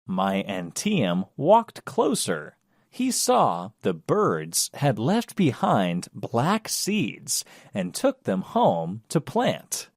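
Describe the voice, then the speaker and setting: A man narrates a children's storybook at a slow, measured pace. His pitch swings widely as he tells the tale, and the recording is dry with no room around it. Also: wildly swooping pitch, no echo.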